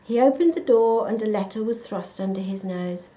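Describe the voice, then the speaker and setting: A woman reading a children's picture book aloud, with expressive, dramatic emphasis for young listeners. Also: mid-range voice, normal speed, rough recording. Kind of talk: expressive scripted story narration.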